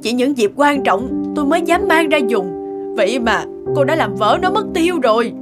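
A woman's voice: high-pitched, expressive, moderate pace. A woman voicing a kind but exasperated middle-aged lady of the house in a cartoon moral tale, speaking earnestly. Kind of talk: animated moral-tale voice acting. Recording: music under the voice.

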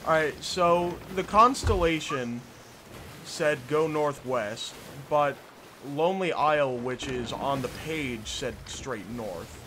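A man's voice: fairly high pitch, expressive, slow, a bit bright. A young man streaming a video game with casual, easygoing commentary and the odd swear word. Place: indoor room at a desk. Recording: noisy stream with boat and sea ambience. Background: game sounds of boat and water.

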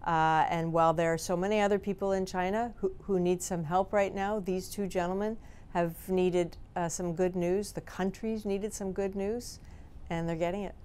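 A woman's voice: fairly low pitch, fairly even intonation, moderate pace, clear, resonant tone. A woman news anchor speaking live from a TV studio, conversational and smiling as she talks. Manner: warm, smiling, conversational on air.

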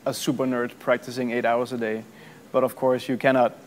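Easygoing Danish man, even-toned. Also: medium pitch, brisk. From Denmark. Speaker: violinist. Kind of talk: interview answers. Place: museum gallery.

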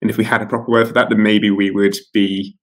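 A man's voice: fairly low pitch, level tone, fast. A man in his thirties, an author being interviewed over a video call from home, talking casually with many fillers and restarts. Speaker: author guest. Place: home room with curtains.

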